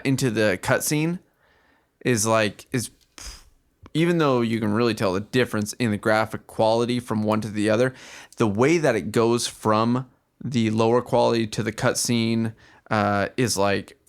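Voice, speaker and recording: A man co-hosting a podcast, chatting casually about video games in slangy, halting phrases with repeated words. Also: mid-range voice, clean tone. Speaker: podcast co-host.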